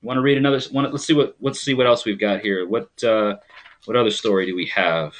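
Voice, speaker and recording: A man's voice: on the low side, fast. A man from rural New York hosting a live stream, chatting casually and rambling with frequent ums as he reads viewers' comments. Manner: greets viewers and reads their comments aloud.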